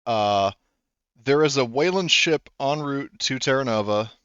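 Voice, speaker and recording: A man acting as game master, talking in a relaxed, rambling way and laughing often. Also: medium pitch, measured pace, smooth, dry recording, dull audio. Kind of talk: narrating a tabletop game.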